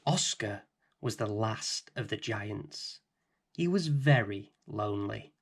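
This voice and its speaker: A middle-aged man hosting and reading a children's bedtime story in a gentle, expressive way. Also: fairly low pitch, slow, vocal fry, slightly rough. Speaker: storybook reader and host.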